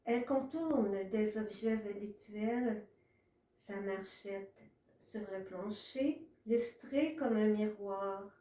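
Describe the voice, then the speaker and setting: A woman reads literary poetry in a measured way, pausing between short phrases. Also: medium pitch, dark tone. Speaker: author reading own poetry. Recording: echoey room, distant from mic.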